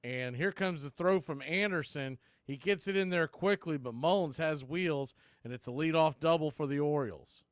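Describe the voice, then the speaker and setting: A man's voice: fairly high pitch, moderate pace, few pauses, dry recording. A man giving live baseball play-by-play commentary as an announcer, in clipped phrases that swell with the action. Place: indoors, calling the game from a video feed.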